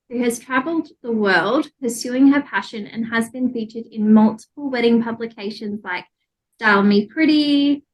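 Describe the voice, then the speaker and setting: A woman's voice: fairly high pitch, poor audio. A woman with an Australian accent, speaking as a podcast host in a friendly interview style. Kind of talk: friendly interview with a scripted introduction.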